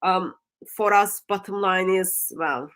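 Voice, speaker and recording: Turkish-accented woman hosting a stream, lots of ums and restarts, plain middle pitch. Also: a bit bright, clean tone. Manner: halting, many ums and restarts. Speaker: stream host. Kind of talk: livestream discussion.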